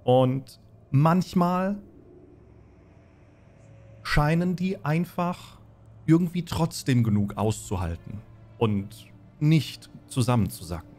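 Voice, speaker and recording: A man's voice: fairly high pitch, animated, takes it slowly, long pauses, a little mellow. A man narrating and refereeing a tabletop game as game master, explaining things as he goes. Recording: faint music behind the voice. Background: faint music.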